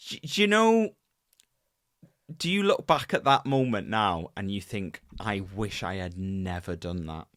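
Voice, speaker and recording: A man, casually co-hosting a podcast with his wife, animated and full of fillers and restarts. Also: on the low side, unhurried, dry recording, clean studio sound. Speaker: podcast co-host.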